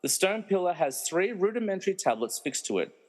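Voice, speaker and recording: Animated, wry man as narrator, big reverb. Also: high-pitched, a little fast. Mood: storytelling. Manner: wry, theatrical. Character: wry, meddling movie narrator who mocks the characters. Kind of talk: dramatic scripted narration with comic asides. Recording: reverberant hall-like echo.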